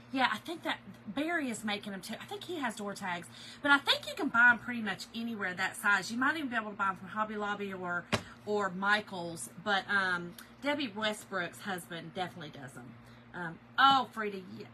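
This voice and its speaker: A middle-aged woman hosts a craft livestream from her home office, her voice a bit hoarse. The tone is very bright and fairly high, and the chatty, drawling talk runs over a faint hiss of room noise. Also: poor audio. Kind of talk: casual livestream chat greeting viewers.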